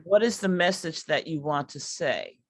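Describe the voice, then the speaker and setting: A woman reflecting as a workshop artist on a video call, speaking in emphatic, halting phrases. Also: deep voice, lively intonation, dry recording. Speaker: workshop artist leading the project. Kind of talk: reflective discussion.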